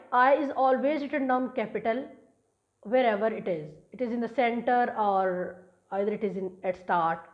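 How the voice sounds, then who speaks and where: Pakistani woman teaching grammar, dull lo-fi sound. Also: a little high. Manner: explains slowly, restates points with small restarts. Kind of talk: recorded grammar lesson.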